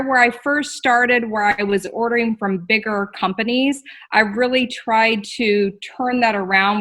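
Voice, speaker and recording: A woman's voice: mid-range voice, a bit bright. A woman in her forties from Texas, chatting as a guest on a video call in a friendly way and laughing often, with filler ums. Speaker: guest, store owner. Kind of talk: casual interview.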